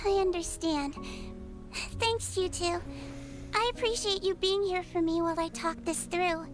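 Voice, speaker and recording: A woman voicing a small, gentle, earnest girl doctor in a game cutscene. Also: very high-pitched, unhurried. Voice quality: soft, sweet and young-sounding. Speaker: game character. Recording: game audio with music behind.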